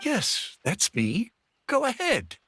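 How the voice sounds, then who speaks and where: An actor playing a helpful, kindly village head in an anime game, chatting in a measured, easygoing way. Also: medium pitch, huge pitch swings, heavy background noise, full character voice. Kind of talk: English dubbed anime game acting.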